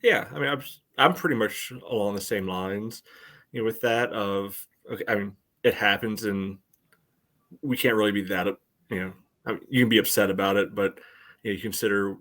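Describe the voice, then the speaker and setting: A man co-hosting a casual sports podcast, talking in a loose, halting way with lots of filler phrases. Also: fairly low pitch, fairly bright tone. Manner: hesitant phrasing peppered with "you know".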